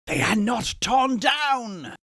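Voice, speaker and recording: A man's voice: very high voice, lively intonation, slow pace, sharp tone, no echo, studio quality, pitched above the performer's own voice, full character voice. A man voicing an overjoyed reformed old miser in a storybook reading, exclamatory and full of childlike glee. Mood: excited relief. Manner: exclamatory, gleeful, whooping.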